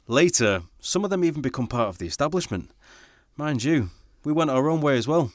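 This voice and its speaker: Man playing lively, earnest young songwriter. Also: on the high side, a little fast, no room sound, clean studio sound, natural voice. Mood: reflective. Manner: earnest, good-humoured, explanatory. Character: young working-class Salford man, political songwriter, earnest agitator. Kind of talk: radio play acting and narration.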